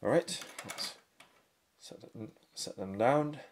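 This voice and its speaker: A man giving unhurried, step-by-step product unboxing commentary indoors at a tabletop. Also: mid-range voice, lively intonation. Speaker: product reviewer. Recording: faint handling and crinkling noise. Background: faint clicks and packaging crinkle.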